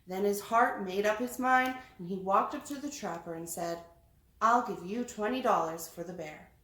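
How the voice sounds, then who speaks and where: A woman reading a children's storybook aloud with lively, dramatic expression. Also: medium pitch, normal speed. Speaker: read-aloud reader. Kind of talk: animated children's storybook narration. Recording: roomy echo, faint background noise.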